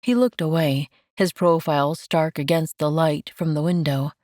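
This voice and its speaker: A woman narrating romance fiction from a script, in a measured and gentle manner. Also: sits low, steady tone, no room sound, clean studio sound. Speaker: audiobook narrator.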